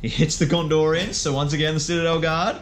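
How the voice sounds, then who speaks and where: A man's voice: on the high side, little pitch movement, fast. Jokey man calling a tabletop game. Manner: jokey, self-mocking, exclaiming at dice rolls. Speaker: player and host of a tabletop wargame video. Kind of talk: casual play-by-play game commentary. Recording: music behind the voice.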